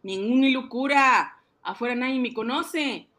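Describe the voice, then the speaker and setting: A man voicing an excitable, boastful ice-cream seller who fancies himself a banker, cajoling and exclaiming in a comic radio drama. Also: extremely high pitch, a little slow, voice pushed up, heavily acted. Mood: scheming, excited.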